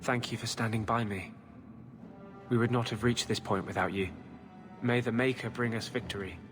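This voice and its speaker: A man's voice: medium pitch, fairly even intonation, normal speed, close to an everyday voice. A man playing an intense, idealistic rebel in a video game cutscene, speaking in a grave, earnest way. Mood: grave, grateful. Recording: long reverb, game music behind.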